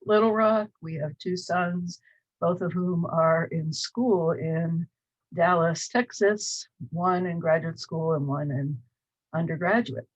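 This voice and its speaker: A woman's voice: fairly low pitch, slow pace. Older woman, Northern US accent, chatty instructor, webcam audio, dull lo-fi sound. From Cleveland, Ohio. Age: 60s. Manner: chatty, self-deprecating, many um fillers. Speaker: college instructor. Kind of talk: recorded course introduction. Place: small home office. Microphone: webcam at desk.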